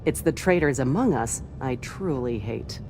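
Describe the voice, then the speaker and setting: A fanatically loyal female ship officer in a sci-fi war game. She speaks in a very low voice, earnest and coolly zealous, with faint music underneath. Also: animated, measured pace, darkish tone. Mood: cold, resentful. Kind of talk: video game character dialogue.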